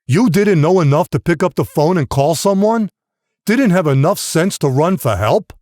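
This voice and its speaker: Man acting a scornful father, pitched up in accusation. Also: lively intonation, moderate pace, darkish tone, dry recording, clean studio sound, lightly acted. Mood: scornful anger. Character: harsh, blaming, contemptuous father berating his young son. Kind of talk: audiobook character dialogue.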